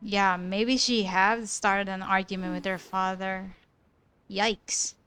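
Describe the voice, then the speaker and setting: A woman speaking as a kindly, reactive young woman, with an unhurried, sympathetic manner. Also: mid-range voice, flat delivery, a bit bright, clear, resonant tone. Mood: casual.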